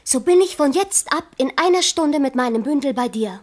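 A woman's voice: high-pitched, expressive, moderate pace. An actress in a 1970s German radio play, playing a devoted, trusting young woman in love. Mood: devoted, earnest.

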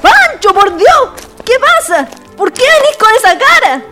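A woman's voice: very high-pitched, very animated, a little slow, pushed and bright, soft background music, full character voice. A woman playing a worried, scolding young sweetheart with a Chilean accent in a radio drama. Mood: alarmed.

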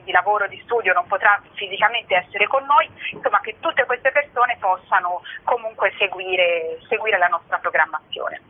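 A woman explaining things as an interviewed cinema programme director. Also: mid-range voice. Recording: noisy, narrow phone-line sound on radio.

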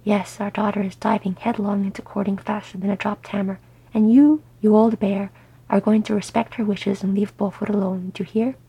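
A woman's voice: mid-range voice, level tone, a little fast, warm tone, husky, rough recording. A woman voicing a firm, sensible, affectionate older dwarf wife with an emphatic, scolding manner in a fantasy story.

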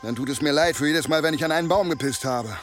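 A man's voice: fairly high pitch, fast, soft background music, close to an everyday voice. An actor playing a down-to-earth, sceptical village man in an audio drama, wry and matter-of-fact. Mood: wry, joking. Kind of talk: German audio drama acting.

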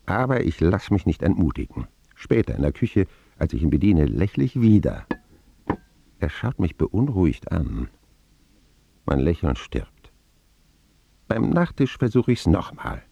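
A man's voice: low voice, wildly swooping pitch, unhurried, gravelly, pauses often, mellow, breathy edge, no room sound, muffled recording, exaggerated voice. An actor playing a man wryly recounting his boyhood in vivid first-person radio drama narration.

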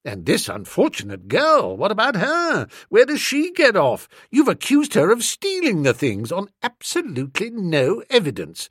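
A male reader plays a young English toff, foppish and breezy, in a comic storybook. His posh British voice rides high and leaps about with blustering emphasis, close and dry. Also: huge pitch swings, moderate pace, hardly any pauses, a bit bright, breathy edge, no room sound, exaggerated voice. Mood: stern, indignant. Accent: British upper-class.

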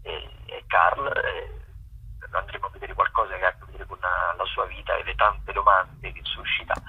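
A man, a theatre critic, giving the week's theatre listings over the phone in a relaxed, rambling way full of hesitations. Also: deep voice, moderate pace, slightly rough. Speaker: theatre critic and regular contributor. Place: at a festival, away from the studio. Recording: tinny phone line.

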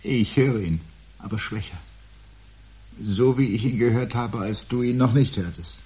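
An actor playing a resigned, brooding man awaiting the end of the world, speaking gravely and deliberately in a radio drama. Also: mid-range voice, close to an everyday voice. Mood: grim, resigned. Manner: grave, deliberate, literate and reflective. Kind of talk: 1970s German radio drama acting. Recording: 1970s radio recording with loud hum.

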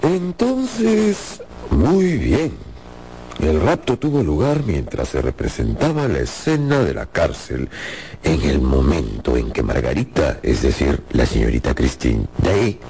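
An actor playing a sardonic, formal police commissioner in a radio drama. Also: male voice, rumbling low, huge pitch swings, slow, gravelly, warm tone, a little hiss or hum, heavily acted. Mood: dry, official. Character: sardonic, pompous male police commissioner, theatrically polite.

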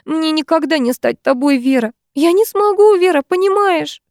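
A woman's voice: high voice, moderate pace, warm tone, no echo, studio quality, pitched above the performer's own voice, lightly acted. A woman reading an audiobook, voicing a desperate teenage schoolgirl who pleads through tears with a breaking voice. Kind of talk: audiobook character voice.

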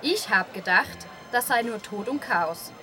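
A woman's voice: a little high, lively intonation, a little slow, sharp tone, soft background music. A woman playing a curious, earnest schoolgirl talking to her father in a self-made radio play. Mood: naive, curious.

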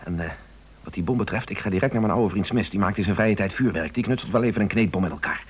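Husky, determined man in a radio play. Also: fairly low pitch, fast. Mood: insistent. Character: determined news agency boss plotting to avert war. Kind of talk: Dutch radio play acting.

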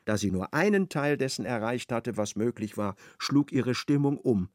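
A man's voice: medium pitch, animated, moderate pace, darkish tone, no room sound, clean studio sound, natural voice. A man narrating a literary radio drama. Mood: measured.

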